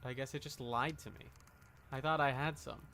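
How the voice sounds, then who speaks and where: A man's voice: medium pitch, steady tone, normal speed, bright, forceful tone, a little hiss or hum. A man giving casual, offhand live commentary as he plays a video game, muttering to himself and playfully taunting enemies.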